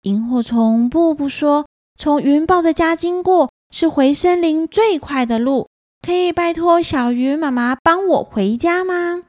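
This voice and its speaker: A woman's voice: high-pitched, measured pace, a little mellow, dry recording, clean studio sound. A woman voicing a timid, polite little lost girl in an earnest, wondering manner for a children's story. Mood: polite, pleading.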